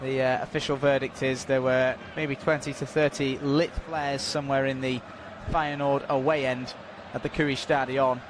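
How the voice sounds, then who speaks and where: Guy doing live football commentary, steady and informative, traffic and street noise behind him. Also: mid-range voice. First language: not Dutch. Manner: measured, informative, filling time with stats and updates. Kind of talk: live sports commentary during a stoppage.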